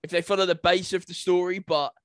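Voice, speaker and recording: Man, somewhat high-pitched, casual conversation, podcast co-host, no room echo. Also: level tone, brisk, a bit bright. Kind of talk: casual chat.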